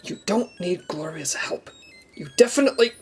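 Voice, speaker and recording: A woman's voice: fairly low pitch, expressive, moderate pace, soft background music, close to an everyday voice. A woman playing a fussy, anxious and pedantic office agent in an audio comedy drama, very earnest. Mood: nervous.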